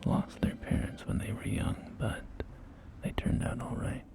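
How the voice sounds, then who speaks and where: A man playing a folksy post-apocalyptic real estate agent in a whispered ASMR roleplay, with gentle, hesitant, reassuring sales patter. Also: unhurried, lightly acted. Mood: reassuring, informative. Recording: close whisper over soft music.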